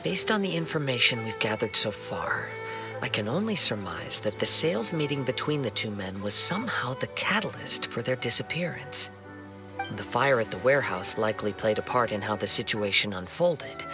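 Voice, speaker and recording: A man playing a polite, composed young investigator in a video game, speaking formally and deliberately as he reasons. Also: mid-range voice, sing-song, over music, lightly acted. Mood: reasoning.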